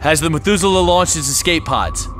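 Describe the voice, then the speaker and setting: An actor playing a grim, battle-weary military captain in a sci-fi motion comic, terse and commanding. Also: male voice, on the high side, expressive, fairly quick, a bit bright, soft background music. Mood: resolute.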